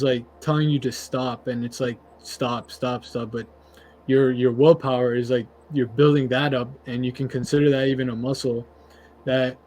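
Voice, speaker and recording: A young man with a medium-pitched voice hosts a casual podcast. He talks in an informal, slangy way and leans on his points with emphasis. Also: darkish tone, poor audio. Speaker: podcast host. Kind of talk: casual chat.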